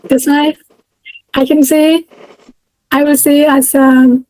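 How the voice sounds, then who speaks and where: Soft-toned Burmese-accented woman, hesitant. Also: high voice, little pitch movement, takes it slowly, dry recording, poor audio. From Myanmar. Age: 30s. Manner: hesitant, many fillers and restarts. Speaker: guest. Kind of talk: casual interview.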